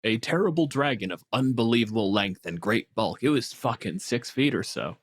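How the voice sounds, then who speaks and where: A man's voice: medium pitch, lively intonation, normal speed, no room sound. A man chatting casually as a podcast co-host, explaining monster lore in a wry way with the odd swear word.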